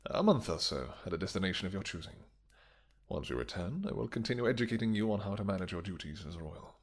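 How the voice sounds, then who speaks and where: Man playing a deep-voiced, devoted vampire king. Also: big pitch swings, fast, heavily acted. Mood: tender, devoted. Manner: formal, courtly, affectionate phrasing. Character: tender, possessive male vampire king, courtly and devoted. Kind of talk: romantic fantasy audio roleplay.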